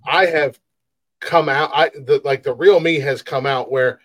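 Casting: man in his thirties, big booming voice, rambling podcast co-host, very dry close sound. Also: steady tone, unhurried, no echo. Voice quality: big loud voice. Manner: rambling, repeats words, laughs often. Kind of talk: casual podcast chat.

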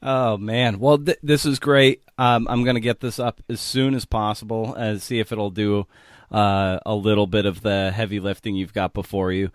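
Male podcast host, lowish pitch, casual and informal delivery, close dry mic. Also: steady tone, normal speed, no echo. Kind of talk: casual conversational interviewing.

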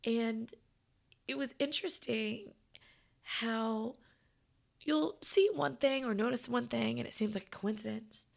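Hoarse, raspy woman half-whispering. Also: fairly high pitch, unhurried, long pauses. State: hoarse, losing her voice. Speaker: podcast host. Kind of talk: casual reflective monologue.

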